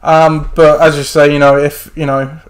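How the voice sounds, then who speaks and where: A man's voice: on the high side, level tone, normal speed, poor audio. Man hosting a casual sports podcast. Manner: chatty, rambling with many fillers. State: losing his voice. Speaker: podcast host.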